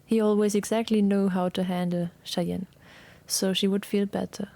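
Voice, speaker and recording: A woman narrating a scripted dramatic story in a measured, solemn way. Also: mid-range voice, level tone, creaky, mellow.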